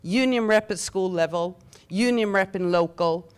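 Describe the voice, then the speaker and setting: A woman's voice: medium pitch, normal speed, clean tone. A Norwegian woman in her sixties, a veteran teacher and union official, speaking reflectively on a panel. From Norway. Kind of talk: panel discussion.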